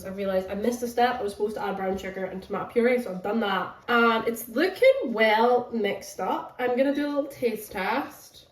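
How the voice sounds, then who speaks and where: A woman's voice: a little high, normal speed, few pauses, a little hiss or hum. Chatty woman vlogging in an echoey room. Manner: chatty, rambling with many fillers. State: stuffy with a cold. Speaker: book vlogger. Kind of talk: casual vlog chat. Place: home kitchen. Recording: echoey room, mic at a distance.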